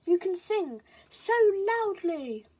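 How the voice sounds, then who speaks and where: A woman's voice: very high voice, big pitch swings, slow, warm tone, a little hiss or hum, pitched above the performer's own voice, heavily acted, rough recording. A woman voicing an anxious, dramatic father crow in a storybook, exclaiming over his young son. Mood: delighted. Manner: exclaiming, pleading.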